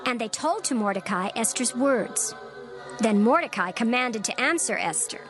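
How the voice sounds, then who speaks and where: A woman giving a solemn, measured scripted narration of scripture. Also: a little high, lively intonation. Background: music.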